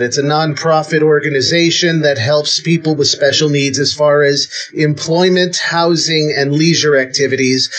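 A man in his thirties with an American accent, answering interview questions earnestly, pausing often with ums. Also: a little high, a bit bright. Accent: American, lived long in Israel. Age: 30s. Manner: earnest, hesitant with many ums. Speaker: guest.

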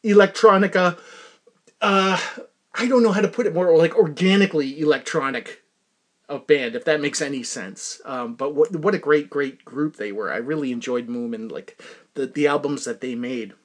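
Middle-aged guy podcast host, pretty high voice, chatty and animated, keeps tripping back over his own words. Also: normal speed. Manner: animated, self-interrupting, repeats words for comic emphasis. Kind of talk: rambling casual podcast monologue.

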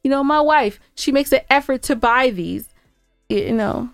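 Female podcast co-host, highish voice, close dry sound over music, casual and playfully combative. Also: normal speed, no echo. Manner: playfully argumentative, emphatic. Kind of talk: casual bantering chat with husband.

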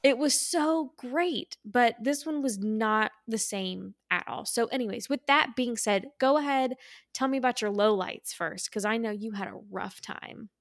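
A woman with a fairly high voice hosts a casual podcast. She talks like an animated storyteller, slipping into quotes of herself and others. The sound is dry and close, with little room in it. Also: measured pace, dry recording. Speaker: podcast host. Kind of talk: casual chatty podcast conversation.

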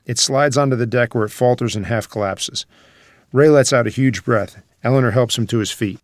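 A man's voice: medium pitch, moderate pace, no echo, clean studio sound. A man reading out screenplay scene headings and stage directions as a scripted narrator.

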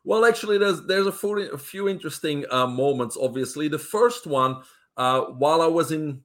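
A middle-aged man from Belgium with a Belgian accent, a guest telling his life story in an interview, relaxed and full of uh fillers. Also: on the high side, moderate pace.

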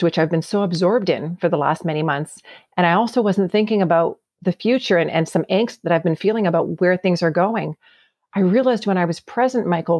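A woman from Canada with a gravelly voice, a guest sharing a personal story in an earnest, heartfelt way. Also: fairly low pitch, darkish tone, dry recording, clean studio sound. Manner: earnest, heartfelt, often repeats words.

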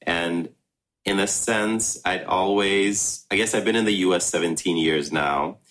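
A man in his thirties from Ghana, with Russian as his first language, speaks in an extremely low voice. He answers interview questions in a reflective, meandering way. He often pauses, restarts and fills gaps with "um.". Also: fairly bright tone, slight background noise. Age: 30s. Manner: reflective, many ums and restarts. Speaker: filmmaker.

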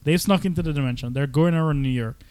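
A man co-hosting a podcast, casually recapping a movie in an animated, stammering way and acting out slangy quotes. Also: a little high, fast talker, clean tone, dry recording. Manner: animated retelling, stammering repeats, acts out quoted lines in slang. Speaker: podcast co-host.

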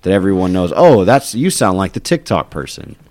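A man from Amarillo, Texas, talks in a relaxed podcast chat. His voice sits very low, and he curses offhandedly. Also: a little fast, no room sound. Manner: laid-back, swears casually. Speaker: podcast co-host. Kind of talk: casual chat.

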